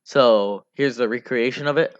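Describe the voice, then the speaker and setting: A man giving casual, unscripted devlog commentary in a rambling, halting way, often repeating himself. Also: medium pitch. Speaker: hobby game developer narrating a devlog. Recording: dull lo-fi mic under music. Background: music.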